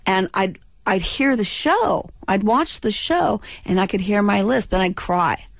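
A woman's voice: medium pitch, expressive, measured pace, creaky, no echo, clean studio sound. A woman in her sixties from California, a former child actor chatting and joking as a radio guest, with a slight lisp. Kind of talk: casual radio interview chat.

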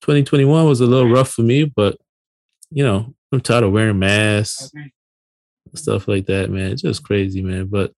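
A man's voice: fairly low pitch, steady tone, moderate pace, a little mellow, no echo. A man in his late thirties from Barbados, co-hosting a podcast in casual conversation. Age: late 30s. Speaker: podcast co-host.

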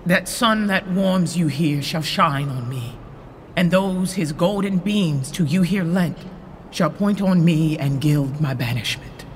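An actor playing a proud, steadfast younger nobleman, delivering Shakespearean verse in a measured, formal way. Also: fairly high pitch. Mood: resigned, proud. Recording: faint background noise.

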